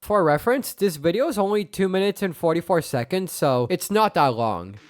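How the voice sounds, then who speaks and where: A man's voice: high voice, lively intonation, normal speed. A young man giving casual reaction commentary, with wry, joking asides. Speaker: reaction video host.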